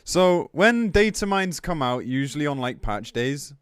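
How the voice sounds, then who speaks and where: A man's voice: fairly high pitch, very animated, measured pace, clean tone, no room sound, clean studio sound. A man speaking as a game streamer in a casual livestream rant, blunt, sweary and exasperated as he reads and reacts. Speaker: game streamer commenting on news.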